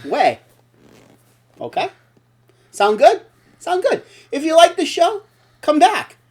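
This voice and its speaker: A man's voice: very high-pitched, huge pitch swings, slow. A middle-aged man hosting a casual comedy chat show, blunt and joking as he rambles. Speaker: host.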